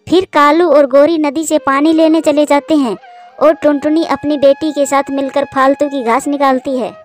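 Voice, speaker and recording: A woman's voice: sits high, flat delivery, normal speed, faint music behind. A woman reading scripted narration for a children's story.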